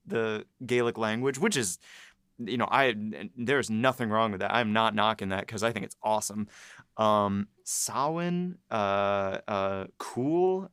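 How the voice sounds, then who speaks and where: A man's voice: lowish voice, a bit bright, clean tone, no room sound, studio quality. A man who writes for the series, chatting casually behind the scenes and rambling with many restarts and frequent laughs.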